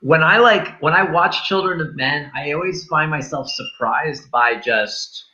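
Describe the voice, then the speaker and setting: A man's voice: fairly high pitch, a little slow, bright, forceful tone, highs cut off. A man talking casually about films in an emphatic, colloquial, slangy way, like a show host among friends. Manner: emphatic, colloquial, swears freely. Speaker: film review show host. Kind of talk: casual, slangy film chat.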